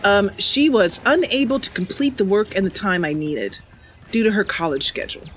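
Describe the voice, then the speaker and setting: A woman's voice: mid-range voice, a bit bright. A woman hosting a live game stream, chatting casually with viewers and thinking aloud. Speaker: live streamer and channel host. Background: game music.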